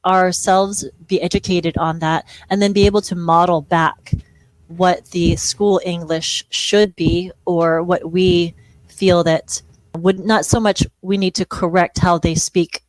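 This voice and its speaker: A woman's voice: fairly low pitch, fairly even intonation, measured pace, no echo, rough recording. A middle-aged woman from Hawaii, a speech-language pathologist, chatting as an interview guest in a self-deprecating way, with frequent laughs. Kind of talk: conversational interview.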